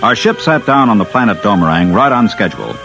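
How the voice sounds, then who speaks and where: An American actor playing a wry Earth engineer in a 1950s radio drama, narrating and talking. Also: male voice, lowish voice, lively intonation, fast talker. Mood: dry, wry. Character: wry male Earth engineer recounting his space mission. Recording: muffled old radio recording with music.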